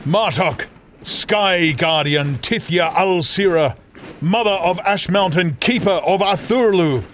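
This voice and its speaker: A frantic male hawk guardian from a fantasy audiobook, acted out by a man. The voice is raised high and strained upward, declaiming with command and pleading urgency. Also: slow, a bit bright, a little hiss or hum. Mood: desperate, commanding. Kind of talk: fantasy audiobook character acting.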